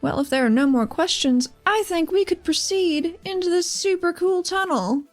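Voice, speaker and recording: A woman playing a dry, guarded, defiant female leader of mercenaries in a tabletop roleplaying game, speaking deliberately. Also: very high voice, faint music behind, pitched above the performer's own voice. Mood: matter-of-fact, commanding.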